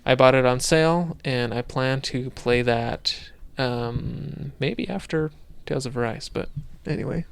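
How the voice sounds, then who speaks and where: A man's voice: mid-range voice, measured pace, creaky, clear, resonant tone. A man co-hosting a casual podcast, chatting loosely with many fillers and restarts. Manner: rambling, lots of fillers and restarts. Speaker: podcast co-host.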